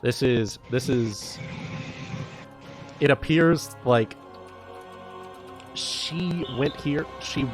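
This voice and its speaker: A man narrating a tabletop fantasy game as game master, deliberate and dramatic. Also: medium pitch. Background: music.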